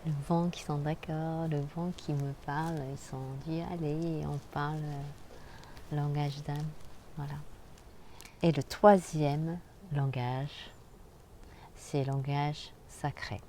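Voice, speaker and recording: A woman hosting a spiritual channel, talking casually and directly to the viewer in a soft, halting way with frequent hesitations. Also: low-pitched, level tone, a little hiss or hum. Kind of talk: casual spoken vlog monologue.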